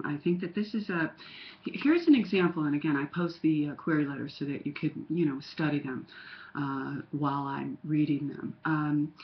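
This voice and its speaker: Older woman, slightly nasal, chatty with lots of ums, muffled webcam sound, home study. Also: low voice. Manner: chatty, many ums and restarts. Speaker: writing coach critiquing query letters. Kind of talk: casual webcam critique, reading a letter aloud. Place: home study with bookshelves, indoors. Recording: dull lo-fi webcam audio.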